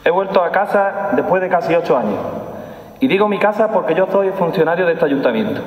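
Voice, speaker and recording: A man's voice: high voice, animated, fairly quick. A man in his forties with an Andalusian accent, giving an emphatic formal speech as a police chief, repeating key phrases. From Andalusia, Spain. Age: 40s. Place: large stone hall, indoors. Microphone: lectern microphone. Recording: echoing hall, long reverb, slightly dull sound.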